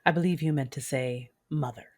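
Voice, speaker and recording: A woman playing a commanding, calculating warlord mother, giving measured first-person narration in an audio drama. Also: low voice, a little mellow. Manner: measured, imperious.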